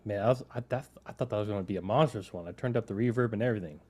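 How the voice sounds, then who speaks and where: A man's voice: on the low side, racing, a little mellow, slightly rough. A man co-hosting a podcast in casual chat, rambling and breaking off mid-sentence, his voice carrying reverb from his mixer. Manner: rambling, self-interrupting storyteller. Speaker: podcast co-host.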